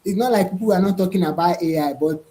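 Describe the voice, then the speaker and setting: A man's voice: high-pitched, quick pace, warm tone. Man, chatty and hesitant. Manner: hesitant restarts, frequent "right?" and "to be honest". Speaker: panelist. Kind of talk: casual roundtable discussion.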